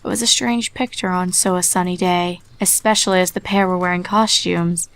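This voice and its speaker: Woman narrating a dark fan story. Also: fairly low pitch, fairly even intonation, normal speed, clean tone. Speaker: reader.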